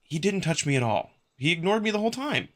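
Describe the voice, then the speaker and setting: A man's voice: a little high, big pitch swings, quick pace, pitched above the performer's own voice. A man voicing a curious, anxious young human with a hesitant, placating way of talking. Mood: nervous, placating.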